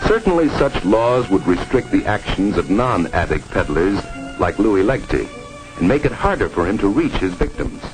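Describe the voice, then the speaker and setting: Narrator: American male, formal and emphatic, lively sweeping intonation, old film soundtrack with prominent music. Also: fairly low pitch, moderate pace, poor audio. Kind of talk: 1950s educational film narration, scripted.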